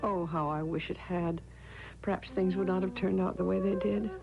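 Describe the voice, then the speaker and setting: An actress playing an anxious, devoted young wife in an old-time radio drama, with earnest, dramatic delivery. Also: female voice, lowish voice, fairly even intonation, moderate pace, creaky, music in the background. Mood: brooding narration. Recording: dull vintage radio recording.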